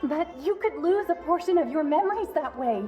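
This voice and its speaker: A soft-toned, fairly high-voiced mysterious woman, wistful and serene. Her game dialogue comes measured and solemn, with music underneath. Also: animated. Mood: calm, knowing. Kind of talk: video game voice acting.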